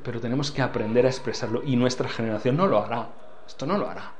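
A man's voice: mid-range voice, quick pace. Older man, foreign accent, church leader, earnest interview talk, repeats phrases, faint hiss behind. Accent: foreign accent in Spanish. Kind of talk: interview answers.

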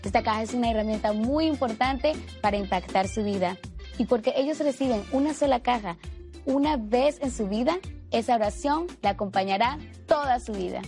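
A woman's voice: on the high side, normal speed, darkish tone. A woman from the Republic, presenting a scripted instructional charity video. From Dominican Republic. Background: background music.

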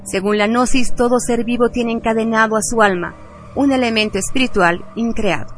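A woman reading a scripted radio narration in a solemn, deliberate manner. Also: on the high side, steady tone, slight background noise. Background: music.

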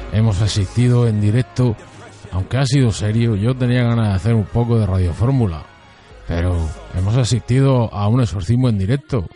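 A man's voice: fairly low pitch, mellow. A man hosting a local radio show, chatting casually with a guest in a dry, teasing way. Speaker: radio host.

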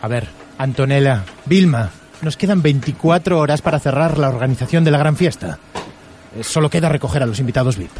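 A man's voice: mid-range voice, expressive, fairly quick, a little mellow, close to an everyday voice. A man with an accent from Spain playing a harried, grumbling team worker in a radio drama. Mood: businesslike, wary. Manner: exasperated, colloquial.

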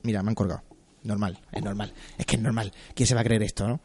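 A man's voice: on the low side, steady tone, quick pace. A man with an accent from Spain, chatting casually and teasingly as a radio morning show host doing prank calls. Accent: Spanish from Spain. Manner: teasing, colloquial. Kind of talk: prank call patter, casual radio chat. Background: music.

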